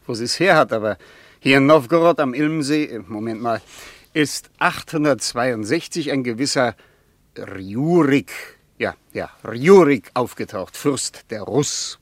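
An actor playing an eager amateur historian on a journey in a radio drama, talking in a rambling, hesitant way that keeps interrupting itself. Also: male voice, mid-range voice, lively intonation, unhurried, dry recording. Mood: musing, eager. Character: rambling, night-owl travelling companion, eager amateur historian.

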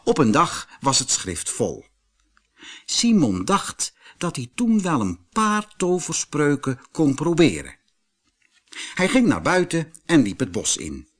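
A man's voice: a little high, huge pitch swings, slow. A man with a Netherlands Dutch accent reading a scripted children's fairy tale as an audiobook narrator.

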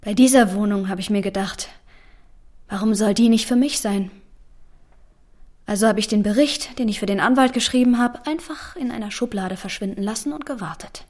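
A woman's voice: medium pitch, normal speed, darkish tone, lightly acted. An actress playing a guarded, defiant and pragmatic woman in a German radio drama, with natural dialogue delivery. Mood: confiding.

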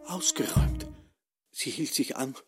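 Male drama narrator, drawn-out pacing, swooping melody, prominent music behind. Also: medium pitch, big pitch swings. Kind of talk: dramatic radio play narration. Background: loud music.

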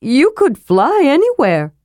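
A woman doing a high, eager little kender. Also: huge pitch swings, unhurried, a little mellow, no echo, clean studio sound, full character voice. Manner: eager, curious, rambling. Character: eager chatty small male kender.

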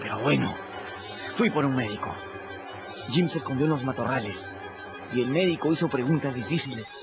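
A man's voice: medium pitch, animated, brisk, lo-fi sound, loud music behind the voice, lightly acted. An actor narrating as a plain-spoken boy in a radio drama, with a wry storytelling manner.

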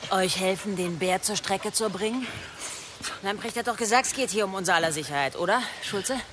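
A woman's voice: mid-range voice, fairly quick, lightly acted. An actress playing a bold, defiant village woman with a sword, blunt and confident, in a historical radio drama. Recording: loud noise of outdoor action scene.

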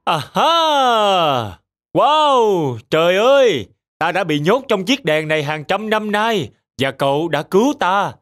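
Man voicing a grand giant genie, fairly high-pitched. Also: big pitch swings, takes it slowly, a bit bright, full character voice. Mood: elated, grateful. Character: giant male genie of the lamp, booming and obliging. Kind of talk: children's animated fairy tale voice acting.

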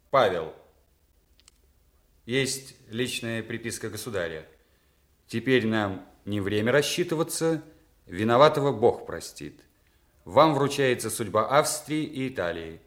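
Ceremonious military courier, higher-pitched. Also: male voice, fairly bright tone. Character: formal male imperial cavalry general acting as courier. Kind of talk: Soviet radio drama, formal proclamation.

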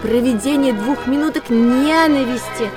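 A woman's voice: sits high, a little slow. An actress playing a zealous woman party propagandist, declaiming fervently in an audio drama with music behind her. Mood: devout zeal. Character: zealous female party propagandist denouncing vice. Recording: clear music bed under voice.

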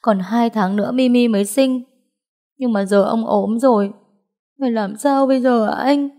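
A woman's voice: on the low side, lively intonation, moderate pace, a little mellow. Woman acting a young wife, anxious, devoted, emotional, fiercely protective, audio story dialogue. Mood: pleading, worried.

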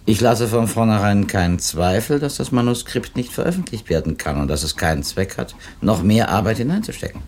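A man's voice: lowish voice, sing-song, a little fast, few pauses, a little mellow. An actor playing a seasoned, matter-of-fact male publishing editor in a 1970s German radio drama, speaking dryly and emphatically. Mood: firm, matter-of-fact. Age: middle-aged or older. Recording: noisy 1970s radio drama, train ambience.

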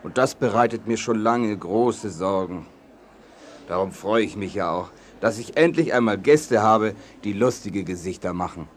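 Ein Schauspieler spricht einen gütigen, besorgten und etwas naiven Märchenkönig in einem Kinderhörspiel, bedächtig und theatralisch staunend. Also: male voice, fairly low pitch, normal speed, slight background noise, lightly acted. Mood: worried. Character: kindly, worried, naive king of a fairy-tale land. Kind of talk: children's audio drama.

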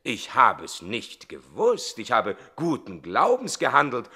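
An actor playing a fussy, slow-witted landlord in a 1960s German radio comedy, formal, pedantic and easily affronted. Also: male voice, mid-range voice, wildly swooping pitch, sharp tone, slightly rough, full character voice. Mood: indignant.

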